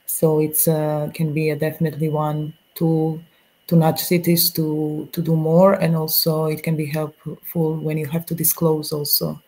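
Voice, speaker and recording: Croatian woman in her forties, hesitant video-call panelist, flat delivery. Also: low voice, normal speed, low-quality recording. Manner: hesitant, many fillers and restarts. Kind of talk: panel discussion.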